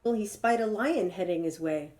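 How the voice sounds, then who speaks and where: A woman's voice: mid-range voice, moderate pace, darkish tone. A woman storyteller reading a children's tale aloud in a dramatic, emphatic way.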